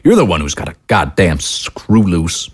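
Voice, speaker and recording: A man's voice: deep voice, big pitch swings, normal speed, breathy edge, full character voice. A man playing a self-righteous, paranoid talk-show host husband in an audio drama, ranting and condescending. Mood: mocking, heated. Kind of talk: dramatic audio screenplay acting.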